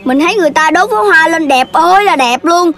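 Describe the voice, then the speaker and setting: A woman's voice: very high voice, expressive, fast, fairly bright tone. A woman voicing a cheeky, mischievous young animal in a children's cartoon, with a carefree, childlike manner. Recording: music playing behind the voice.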